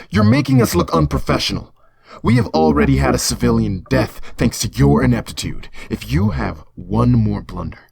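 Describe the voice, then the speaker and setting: A man's voice: mid-range voice, vocal fry, husky, no room sound, heavily acted. A man playing a stern, exasperated, by-the-book spaceship captain in an audio drama, clipped and scolding. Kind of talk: science-fiction audio drama acting.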